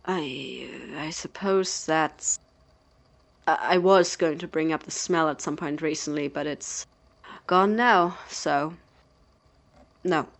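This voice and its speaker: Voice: medium pitch, slow pace, creaky, long pauses, a bit bright. A performer playing an exasperated, blunt male roommate in an audio drama, incredulous and sardonic in manner. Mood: weary, sardonic. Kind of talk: naturalistic audio drama acting.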